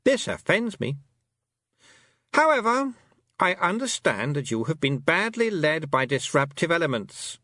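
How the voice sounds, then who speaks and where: A man voicing a haughty, cold fairy queen who talks in a silky, condescending, drawn-out way. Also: on the high side, sing-song, bright, forceful tone, dry recording, studio quality, voice pushed up, exaggerated voice.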